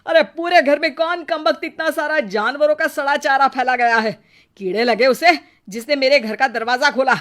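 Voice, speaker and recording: A woman's voice: high voice, moderate pace, fairly bright tone. An irritable, disgusted husband from a moral storybook, voiced by a woman. He grumbles, curses and exclaims. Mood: disgusted, angry. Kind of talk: Hindi moral storybook acting.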